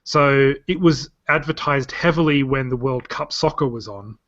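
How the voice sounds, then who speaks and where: A man's voice: mid-range voice, normal speed, fairly bright tone. A man in his thirties with an Australian accent, chatting on a podcast in a rambling way that often restarts sentences. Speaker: podcast co-host. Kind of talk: casual chat. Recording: dull lo-fi podcast audio.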